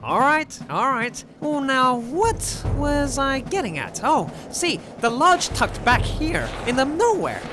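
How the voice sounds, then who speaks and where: A woman as a high-voiced, animated gangland storyteller. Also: slow, hardly any pauses, exaggerated voice. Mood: wry, eager. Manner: chatty, wry, colloquial. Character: lively storyteller recounting gangster exploits with relish. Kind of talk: scripted narration in an audio drama. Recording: music under the voice. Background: music.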